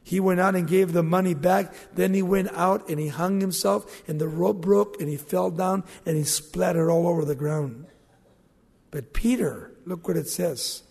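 A man with a gravelly, husky voice, pitched a little high for a man, preaches a sermon. He asks his listeners questions and presses his points with rising emphasis. Long reverb from the church sanctuary trails his words. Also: fairly even intonation, normal speed, darkish tone. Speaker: pastor.

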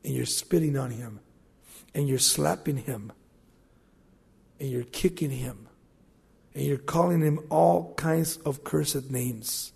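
A man's voice: medium pitch, slow pace, gravelly, long pauses, darkish tone, slightly rough. A male pastor preaching an evening sermon in a church, asking the congregation questions and building emphasis. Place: church sanctuary. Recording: long hall reverb.

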